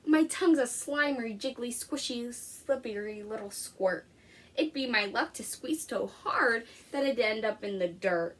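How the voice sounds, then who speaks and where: A woman's voice: on the high side, unhurried, a little hiss or hum. A woman reading a storybook expressively as an anxious, literal-minded little boy. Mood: fretful. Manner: expressive storybook read-aloud.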